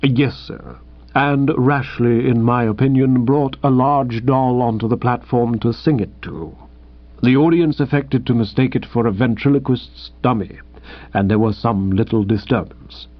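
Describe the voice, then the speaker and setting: A man voicing a composed, deferential male valet who speaks in a measured, formal, deadpan way. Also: mid-range voice, close to an everyday voice. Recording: slightly dull lo-fi recording.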